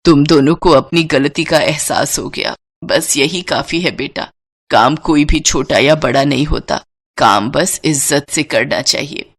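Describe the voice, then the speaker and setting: Woman acting a weary, low-voiced elderly mother-in-law. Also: measured pace, creaky, slightly rough, exaggerated voice. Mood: gentle, forgiving. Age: older. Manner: plaintive, earnest.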